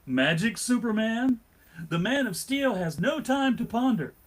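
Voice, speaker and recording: A husky-voiced man giving dramatic scripted narration at a comic book table read. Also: very high-pitched, very animated, unhurried.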